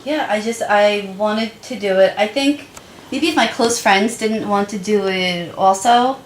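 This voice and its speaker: A woman's voice: mid-range voice, a little slow. A young woman who stutters a little, chatting as a guest about her school years. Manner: stutters with repeated sounds. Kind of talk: casual chat. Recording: echoey room with light noise.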